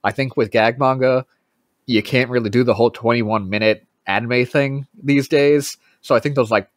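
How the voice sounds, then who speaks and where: A man co-hosting a podcast in casual chat, animated and wry, quick to push with questions. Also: medium pitch, no room sound, clean studio sound. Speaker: podcast co-host.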